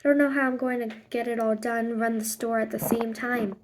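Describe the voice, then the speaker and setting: A woman's voice: fairly high pitch, steady tone, brisk, background noise, close to an everyday voice. A woman voicing a busy, overwhelmed working mother in a storybook, weary and apologetic as she explains.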